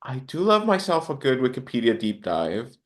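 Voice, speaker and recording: A man's voice: mid-range voice, wildly swooping pitch, normal speed. A man from Honduras chatting casually as a podcast guest, in a reflective, rambling way with hesitant phrasing. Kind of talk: casual interview chat.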